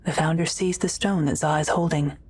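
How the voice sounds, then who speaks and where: A woman's voice: low-pitched, steady tone, a little fast, a little mellow, breathy edge. A woman reading scripted present-tense narration for an audio drama, as the narrator.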